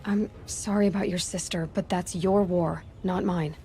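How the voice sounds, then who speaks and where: An actress playing a cool, self-reliant young huntress in a video game, speaking in a terse, matter-of-fact way. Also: female voice, lowish voice, steady tone, normal speed, mellow, lightly acted. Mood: guarded, firm.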